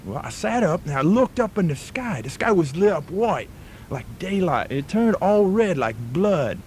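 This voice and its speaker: A man's voice: sits high, sing-song, darkish tone, a little hiss or hum. A man with a put-on Australian accent playing a stammering bushman who tells a spooky tall tale in a comic radio drama. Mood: spooky storytelling. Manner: stammering, drawn-out storytelling.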